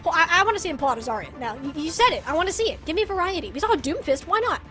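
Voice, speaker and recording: A woman's voice: extremely high pitch, sing-song, racing, a bit bright, faint music behind. A woman giving live esports commentary and casual game analysis, opinionated and colloquial in how she talks.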